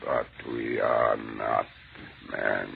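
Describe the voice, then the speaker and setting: An actor in an old radio drama playing an ancient alien head, cold and contemptuous, speaking in grandiose menace. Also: male voice, rumbling low, steady tone, extremely slow, heavily acted. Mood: hungry, menacing. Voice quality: gravelly. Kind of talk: old-time radio science fiction acting. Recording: tinny, noisy old radio recording.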